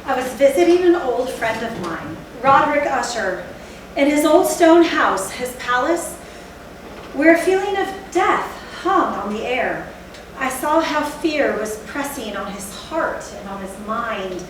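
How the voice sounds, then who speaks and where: A woman playing a haunted, fearful narrator in theatrical Gothic storytelling, with a deliberate, literary delivery. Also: high voice, lively intonation. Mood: somber, uneasy. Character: haunted, fearful narrator recalling a night of horror. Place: theatre stage, recorded from a distance. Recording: echoey hall, distant mic, faint noise.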